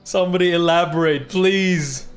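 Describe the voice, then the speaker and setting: A man's voice: fairly high pitch, normal speed, clean tone. A young man casually commenting while playing a game on stream, chatty and laughing often.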